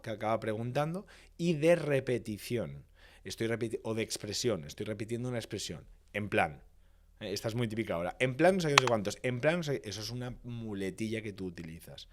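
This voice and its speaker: Low-voiced Spanish man explaining emphatically. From Valencia, Spain. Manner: didactic, emphatic, lists points and repeats phrases for rhythm. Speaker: communication and public speaking expert. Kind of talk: podcast interview, explanatory.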